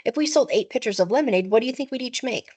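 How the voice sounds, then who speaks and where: Storybook schoolboy, female reader: excitable, scheming, earnest, very varied intonation. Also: fairly high pitch, rapid-fire, slightly rough, voice pushed up. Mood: curious.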